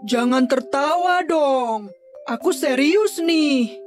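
A woman's voice: high voice, expressive, slow, faint music behind. A woman voicing an envious, plaintive, overworked donkey in a children's storybook tale. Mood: indignant. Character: envious, sulky, overworked donkey pleading for affection. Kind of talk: children's storybook character acting.

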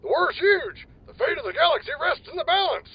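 An actor playing a gruff, gung-ho older male army sergeant who barks folksy outbursts. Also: very high voice, expressive, a little fast, no room sound, muffled recording. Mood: barking.

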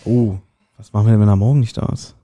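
A man's voice: on the low side, steady tone, quick pace, warm tone. A man hosting a podcast in casual, joking banter, teasing with a mock-serious manner. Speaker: podcast host.

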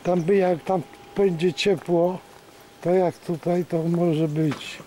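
A vlogger chatting casually and calmly while filming outdoors and on a bus, recorded on a handheld camera mic. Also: medium pitch, slow, low-quality recording. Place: outdoors at a mountain bus stop, then on a bus. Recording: loud outdoor and traffic noise.

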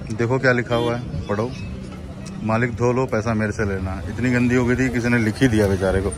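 A man in his thirties narrating a casual travel vlog while driving mountain roads and touring a hotel, chatty and excitable, mixing English into his Hindi. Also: on the low side, noisy background. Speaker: travel vlogger. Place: in a car on mountain roads and at a hill resort.

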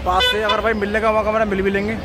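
A man's voice: high-pitched, level tone, fast. A young man from India talking casually to his viewers as a vlogger, filming on a phone outdoors on a busy city street. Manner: chatty, addresses viewers as brother; shouts over a pushing crowd. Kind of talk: casual vlog chat. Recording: loud crowd and street noise.

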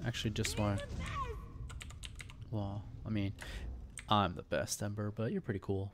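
Low-voiced man casually playing a game. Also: flat delivery, a little slow. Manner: offhand, joking, slangy. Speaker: gaming video host. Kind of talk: casual let's play commentary. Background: game music, keyboard clicks.

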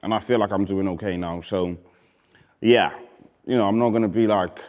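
Laid-back Cardiff man, low voice. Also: moderate pace. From Wales. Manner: laid-back, slangy, trails off quietly. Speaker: rapper reading comments. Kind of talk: casual chat. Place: indoor studio with graffiti wall.

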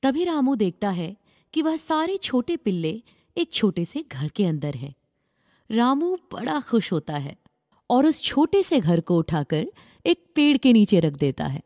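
A woman's voice: fairly high pitch, very animated, measured pace, darkish tone, no echo, studio quality. A woman narrating a scripted children's story as the storyteller.

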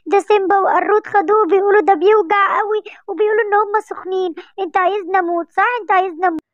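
A woman voicing a scared, sulky little animal child who begs to skip a shot, squeaky and childlike with an Egyptian accent. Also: steady tone, very fast, dry recording, highs cut off. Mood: pleading. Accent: Egyptian Arabic. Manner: pleading and whimpering. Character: scared, sulky little animal kid faking a tummy ache.

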